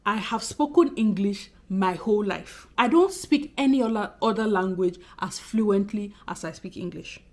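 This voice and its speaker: A woman in her thirties from Nigeria, with a Nigerian accent that carries a Benin undertone, talking expressively and fast in a casual vlog to camera. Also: medium pitch. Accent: Nigerian, Benin City undertone. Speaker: YouTuber.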